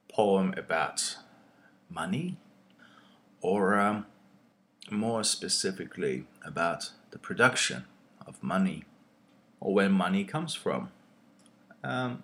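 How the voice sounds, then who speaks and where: A male poet speaking in a thoughtful, deliberate way, with pauses between short phrases. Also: deep voice, fairly bright tone.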